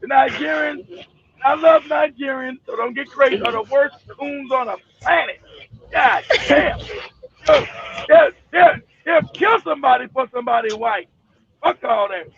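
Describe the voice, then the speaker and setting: A man hosting a casual chat, telling stories in a profane, animated way. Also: very high voice, a little slow, gravelly, dull audio, slight background noise. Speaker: show host.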